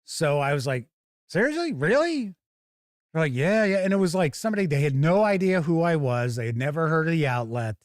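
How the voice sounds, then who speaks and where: A man's voice: fairly high pitch, animated, brisk, no room sound, clean studio sound. A man hosting a tabletop gaming show in a casual live-stream style, candidly giving opinions and rambling through anecdotes.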